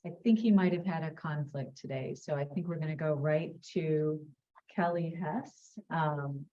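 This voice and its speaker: Female moderator, deep mellow voice, lo-fi dull recording. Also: a little slow. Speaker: session moderator. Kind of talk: introducing speakers.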